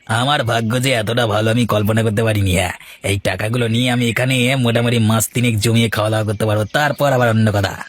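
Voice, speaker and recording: A man's voice: lowish voice, fairly even intonation, quick pace, lightly acted. A man voicing a sly, greedy petty thief in a cartoon, jittery and wheedling. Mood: gleeful. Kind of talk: cartoon voice acting.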